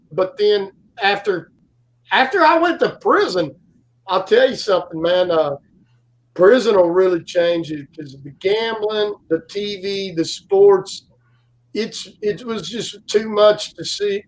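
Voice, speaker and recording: Man, 40s, guest co-host; loud carrying voice, pitched high, slow unhurried rambling delivery. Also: rough recording. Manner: rambling storyteller, many fillers and restarts. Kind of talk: casual live-stream chat.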